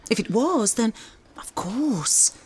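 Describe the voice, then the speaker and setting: An actress playing a prim, politely evasive official in a radio drama. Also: female voice, a little high, animated, takes it slowly, a little mellow, a little hiss or hum. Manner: polite, hesitant, formal.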